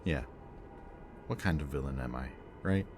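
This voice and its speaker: A man speaking a gentle, hesitant roleplay monologue to the listener as a soft-spoken villain. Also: extremely low pitch, moderate pace, background noise.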